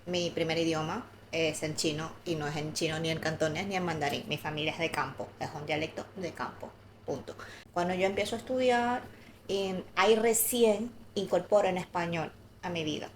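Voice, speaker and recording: A woman co-hosting a podcast, telling personal stories candidly in a relaxed chatty way. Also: lowish voice, normal speed, slight background noise. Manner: candid storytelling with light laughter. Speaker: podcast co-host. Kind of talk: casual conversational podcast chat.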